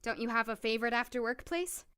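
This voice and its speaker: A woman's voice: on the high side, quick pace, fairly bright tone, clear, resonant tone, natural voice. A young woman playing a chatty, upbeat doctor new in town in an audio drama, with a jokey, nervous rambling manner.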